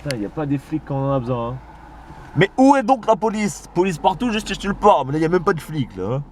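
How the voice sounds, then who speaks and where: A young man, tipsy, joking and swearing as he reads aloud slowly in a car at night. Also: on the high side, big pitch swings, darkish tone, slight background noise. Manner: joking, swearing, slow deliberate reading aloud. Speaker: vlogger. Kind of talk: tipsy casual chat.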